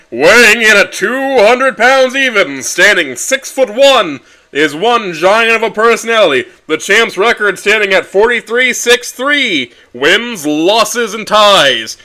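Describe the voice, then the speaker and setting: A man voicing a small male dinosaur ring announcer who calls out the fighters in a drawn-out, booming style. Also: big pitch swings, bright, forceful tone, heavily acted. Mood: hyping.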